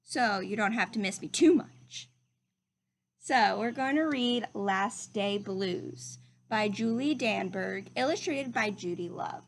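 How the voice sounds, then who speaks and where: A woman's voice: mid-range voice, lively intonation, takes it slowly, creaky, slight background noise. A woman reading a picture book aloud to young children like a teacher, speaking to them directly in simple phrases.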